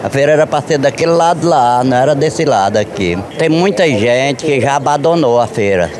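A man's voice: mid-range voice, lively intonation, brisk, a crowd in the background. A man, answering casually in an outdoor market interview. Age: 60s. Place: open-air fruit market. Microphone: handheld reporter microphone. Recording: clear street and traffic noise.

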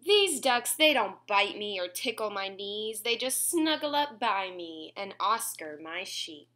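A woman in her twenties reading a picture book aloud at home, expressively and clearly phrased for young children. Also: mid-range voice, lively intonation, unhurried, sharp tone. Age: 20s. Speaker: children's story reader. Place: small room at home, indoors.

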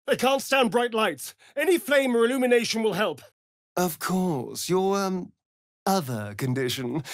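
An aristocratic English vampire rogue for a video game, sardonic and arch. He drawls in unhurried phrases, his pitch swooping theatrically. The sound is close and very dry. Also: dry recording, exaggerated voice. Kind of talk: video game character dialogue.

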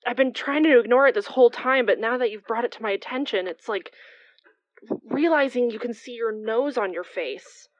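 A woman's voice: on the high side, moderate pace, dry recording, natural voice. An actress playing an emotionally open, defensive young woman neighbor in an audio drama, in a conversational style. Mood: wry. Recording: dry, slightly dull close recording.

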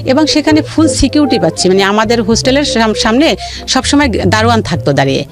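A woman's voice: fairly high pitch, big pitch swings, fast talker. A woman with a Bangladeshi accent telling her life story in a radio interview, emphatically repeating phrases for stress. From rural Bangladesh. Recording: music under the voice.

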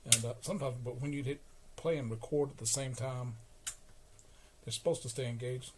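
Male repair vlogger, mid-to-low everyday pitch, explains haltingly and informally on a noisy workbench recording. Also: steady tone. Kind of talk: informal hands-on repair narration. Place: indoor electronics workbench. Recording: clearly noisy workshop camera recording.

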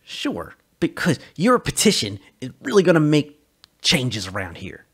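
Man putting on a high, nervous smuggler. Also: wildly swooping pitch, measured pace, breathy edge, full character voice. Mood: defensive. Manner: hesitant, stammering, pleading. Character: nervous, defensive, flippant male smuggler pleading for help.

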